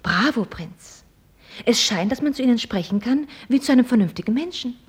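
A husky, proud, sharp-tongued young lady. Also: a little high, expressive, normal speed. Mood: mocking politeness. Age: young adult. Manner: ironic, pointedly formal, probing. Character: proud, sharp-tongued young American millionaire's daughter, mockingly polite. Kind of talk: German radio play acting.

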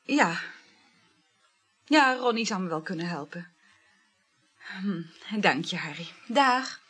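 A flirtatious woman in a radio play. Her voice is husky and swings up and down as she gushes and sighs, chattering about lovers in an effusive, coaxing way. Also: mid-range voice, expressive, slow pace, fairly bright tone, heavily acted. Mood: chatty, pleading. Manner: chatty, effusive, sighing exclamations. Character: flirtatious, gushing, money-strapped woman juggling several lovers. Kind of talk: naturalistic radio play acting.

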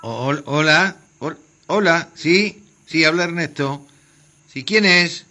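A man's voice: on the high side, takes it slowly, bright, forceful tone, faint music behind. An actor with an Argentine accent playing a shy, flustered theatre man who stammers and repeats his words in a radio drama. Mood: startled, flustered.

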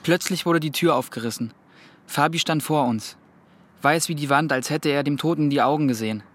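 A man's voice: a little high, fairly quick, a bit bright, slight background noise, natural voice. A young male actor playing a sensitive teenage boy who both talks and narrates in a radio play. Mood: troubled, reflective.

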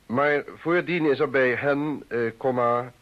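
An actor playing a busy upper-class politician, brisk and self-assured with his staff, in a radio play. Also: medium pitch, fairly even intonation, natural voice. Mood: formal dictation. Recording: dull old radio drama recording.

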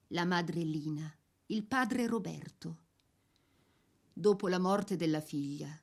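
A woman reads a scripted life story for radio. Her voice sits at a middle pitch. She is solemn and measured, setting short phrases apart with deliberate pauses. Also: a little mellow. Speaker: narrator. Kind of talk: scripted dramatic biographical narration for radio.

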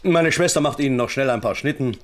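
An actor playing a grumbling but hospitable small-town householder, chatting wryly in a radio crime drama. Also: male voice, mid-range voice, fast, lightly acted.

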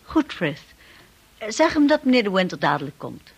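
A woman's voice: mid-range voice, very animated, normal speed, slightly rough. An actress playing a devoted, steadfast young wife and lady of the manor in a radio drama. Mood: supportive. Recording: noisy old radio play recording.

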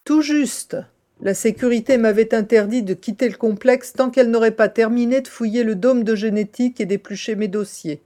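A woman's voice: on the high side, normal speed, mellow, close to an everyday voice. A woman playing a cold, scheming scientist villain in an audio drama, speaking in a curt, imperious manner. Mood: irritated. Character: cold, scheming female scientist in a kidnapping plot.

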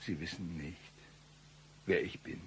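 An actor in a comic radio play, playing an excitable, blustering gunman out for revenge, with a theatrical, rough delivery. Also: low voice, a little slow, a little hiss or hum. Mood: menacing, scornful. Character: excitable male gunman avenging his brothers, melodramatic and absurd.

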